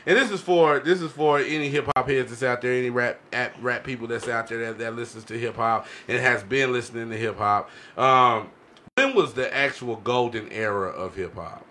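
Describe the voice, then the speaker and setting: A man's voice: mid-range voice, normal speed, pushed and bright. A man hosting a casual podcast with slangy, hype-man banter full of repeated catchphrases, close on a studio mic. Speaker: podcast host. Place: small indoor podcast studio. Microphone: close studio microphone on boom arm.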